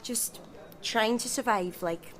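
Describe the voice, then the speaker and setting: A woman's voice: on the high side, slow, a bit bright. An actress playing a bossy, easily exasperated female leader of survivors in an audio drama. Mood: wary. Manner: commanding, exasperated.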